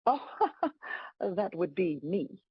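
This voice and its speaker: A woman playing God as a wry, patient, bemused celestial judge, explaining things in a measured way at a table read of a comedy. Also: lowish voice, sing-song, breathy edge, no room sound, lo-fi sound, exaggerated voice. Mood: wry, amused.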